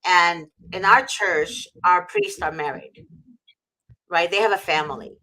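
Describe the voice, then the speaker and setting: Host from Egypt, middle-aged woman, fervent and preachy, bright forceful tone. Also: on the low side, very animated, a little slow, vocal fry, low-quality recording. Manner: fervent, preachy, repeats for emphasis. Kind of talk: impassioned faith talk.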